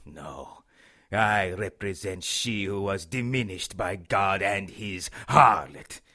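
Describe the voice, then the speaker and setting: A menacing false priest in an audio drama. His voice is deep and unhurried. He makes solemn pronouncements with cold contempt. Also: pushed and bright, exaggerated voice. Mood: cold, ominous. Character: cold menacing male demonic cultist posing as bishop priest.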